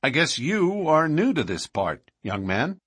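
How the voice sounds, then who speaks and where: A man reading an audiobook, voicing a heavy, good-natured policeman calmly warning a newcomer. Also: a little high, unhurried, slightly rough, dry recording, studio quality, voice pushed up, exaggerated voice. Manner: calm, warning.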